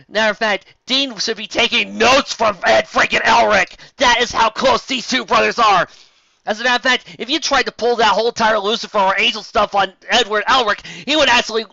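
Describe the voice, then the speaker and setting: High-voiced man, theatrical and sarcastic. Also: bright, forceful tone, dry recording, muffled recording. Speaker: commentator reading and mocking fan fiction. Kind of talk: dramatic reading with sarcastic running commentary.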